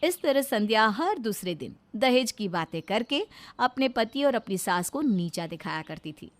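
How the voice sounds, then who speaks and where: A woman's voice: a little high, very animated, moderate pace, no room sound. A woman reading a scripted story as its narrator.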